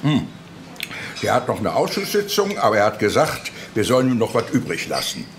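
An actor playing a folksy, gossipy older uncle with a chummy, colloquial manner in a crime radio drama. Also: male voice, lowish voice, huge pitch swings, a little fast, background noise.

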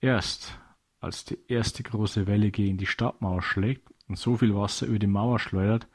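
A man's voice: fairly low pitch, fairly even intonation, normal speed, darkish tone, breathy edge. A man narrating a scripted children's audio story as a storyteller.

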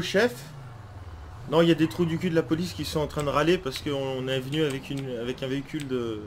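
A man hosting gaming videos, chatting casually and jokily in excitable in-game roleplay. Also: medium pitch, slight background noise. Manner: excitable, jokey. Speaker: gaming video host and player.

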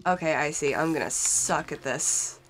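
A woman's voice: low-pitched, normal speed, slight background noise, low-quality recording. Stuffy-nosed young woman chatting over gameplay. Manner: chatty, rambling, reads game text aloud. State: stuffy nose from a cold. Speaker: gaming channel host. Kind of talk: casual let's-play commentary. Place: cold basement in front of a green screen. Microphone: desk mic while wearing headphones.

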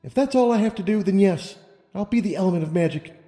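Young unicorn mare, played by a man, anxious, self-doubting, hesitant, high, soft-edged and mellow, music behind. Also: expressive, fast, reverberant, long reverb tail. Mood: hesitant resolve. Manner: hesitant, stammering protests. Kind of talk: audiobook character reading.

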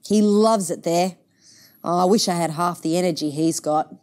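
A woman's voice: on the low side, moderate pace, a little mellow, close to an everyday voice. Woman reading as a weary, downhearted dad. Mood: weary, resigned. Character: weary, downhearted working-class father, gently hopeful. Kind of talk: picture book read-aloud.

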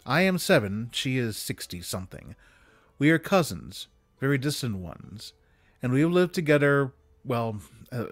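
A man reading classic prose aloud expressively, with measured, dramatic emphasis, close to a desk microphone. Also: mid-range voice, no echo. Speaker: reader of holiday stories aloud. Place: small indoor room with bookshelves. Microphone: desk condenser microphone, close. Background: music.

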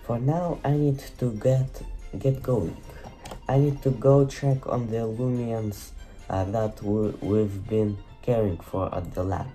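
A man's voice: lowish voice, steady tone, slow, dark tone, soft background music. A man voicing a caring mother in a game, reading her lines aloud in a near-plain voice. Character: caring mother of the player character.

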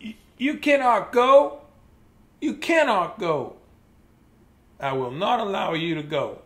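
An anxious, pleading elephant from a children's storybook, acted out by a man. He is high-voiced and melodramatic, with big swoops of pitch as he insists and repeats himself. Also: lively intonation, slow pace, fairly bright tone, full character voice. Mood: alarmed, pleading. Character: anxious, pleading male cartoon elephant, prone to wailing.

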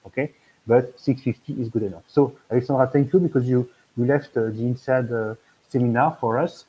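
A man's voice: medium pitch, fairly even intonation, fairly quick, mellow, breathy edge, poor audio. A man in his fifties with a French accent, talking on a video call as an admissions consultant, rambling with many fillers and restarts. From France. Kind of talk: video call webinar talk. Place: room at home.

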